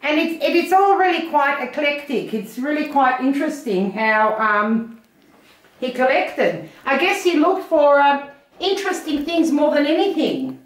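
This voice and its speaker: A woman's voice: high voice, animated, measured pace. An older Australian woman, an auctioneer, chatting casually as she points out items while walking through a house. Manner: chatty, pointing things out, laughs easily. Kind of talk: casual walkthrough chat. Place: large house, indoors. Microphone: handheld phone camera. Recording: echoey rooms.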